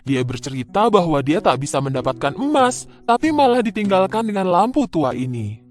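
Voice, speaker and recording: A man narrating a scripted children's fairy tale in an expressive, dramatic way. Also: sits high, brisk. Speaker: storyteller. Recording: music behind the voice.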